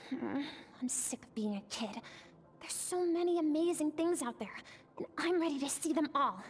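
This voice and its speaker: A woman's voice: sits high, lively intonation, moderate pace. A woman playing a spirited, curious and stubborn young girl in a video game. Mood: dreamy wistful. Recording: long reverb, faint noise.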